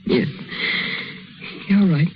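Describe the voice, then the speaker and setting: Tender sweetheart on muffled old radio. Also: female voice, very slow. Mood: sad, brave. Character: devoted young woman in love with a doctor. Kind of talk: 1930s radio drama acting. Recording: muffled, noisy 1930s radio recording.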